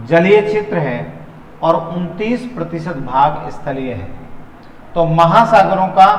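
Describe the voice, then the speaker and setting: A man teaching in an exam-prep lecture style, repeating phrases and asking questions that he answers himself. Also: sits high. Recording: echoey hall-like room reverb.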